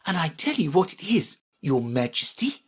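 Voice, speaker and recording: A woman's voice: low voice, big pitch swings, moderate pace, breathy edge, voice pushed up, full character voice. A woman voicing an eager, polite, earnest little girl who likes to argue, in a children's storybook. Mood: self-important.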